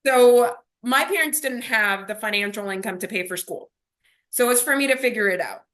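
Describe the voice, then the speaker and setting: A woman's voice: a little high, normal speed, a bit bright. A woman from Miami, a native Spanish speaker, telling her personal story as an interview guest in a reflective way, often checking in with short rhetorical questions. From Miami, USA.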